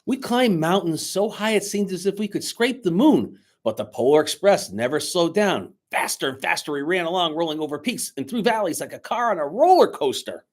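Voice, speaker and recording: A man speaking to schoolchildren as their teacher, reading a storybook aloud in an expressive, dramatic way. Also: high voice, fairly quick, few pauses. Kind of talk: children's storybook read-aloud and chat to pupils. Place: indoors, recorded remotely on video.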